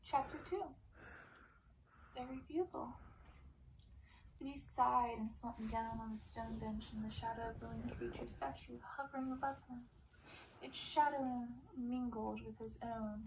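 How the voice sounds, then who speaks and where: Creaky-voiced woman reading a storybook. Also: on the high side, a little mellow, slight background noise, poor audio. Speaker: storybook reader, ASMR creator. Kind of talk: ASMR audiobook reading, scripted narration.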